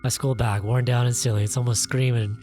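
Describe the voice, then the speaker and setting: Expressive game narrator reading aloud over music. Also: mid-range voice, brisk. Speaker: gaming video host reading a visual novel aloud.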